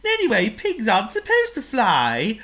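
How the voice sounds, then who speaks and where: A woman voicing a small bird who longs to fly, squeaky, wistful and easily excited. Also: moderate pace.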